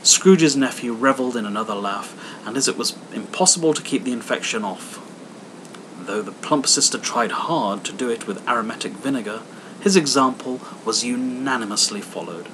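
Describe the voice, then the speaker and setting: A man reading literary fiction aloud as an expressive audiobook narrator. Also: mid-range voice, moderate pace, noisy background. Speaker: audiobook reader.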